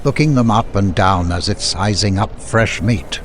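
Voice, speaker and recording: Low-pitched man narrating a story. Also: a little slow, slight background noise. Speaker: audiobook narrator. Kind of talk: scripted fantasy story narration.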